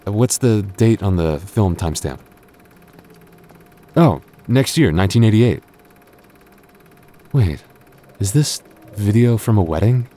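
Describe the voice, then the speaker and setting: A man playing a devoted, earnest young boyfriend in an intimate audio roleplay, speaking haltingly with stammers. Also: on the low side, expressive, mellow. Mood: curious, amazed. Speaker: voice actor.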